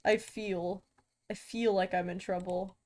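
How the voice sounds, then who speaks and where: A woman's voice: mid-range voice, steady tone, measured pace, darkish tone. A young woman streaming as she draws, chatting casually with viewers and often stuttering and restarting her sentences. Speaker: VTuber art streamer. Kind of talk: casual live-stream chat while drawing.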